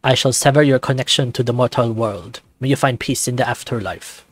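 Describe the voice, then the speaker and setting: A man's voice: mid-range voice, fairly quick, no room sound, lightly acted. A man voicing a heroic young male tiger warrior who speaks in a formal, lofty way. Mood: defiant.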